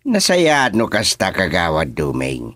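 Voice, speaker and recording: A relaxed village man with an Ilocano accent in a radio serial. He speaks slowly with very animated intonation and thoughtful hums. The sound is close and dry. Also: deep voice, no echo, full character voice. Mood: teasing. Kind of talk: radio serial drama acting.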